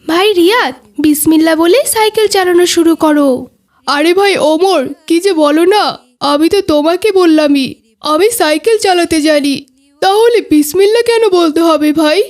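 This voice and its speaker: A woman plays a chatty young schoolboy in a children's cartoon. The voice is extremely high, with an earnest, declamatory way of putting every line. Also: normal speed, darkish tone. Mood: friendly, earnest. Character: earnest young boys, pious and chatty playmates. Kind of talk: scripted children's cartoon dubbing.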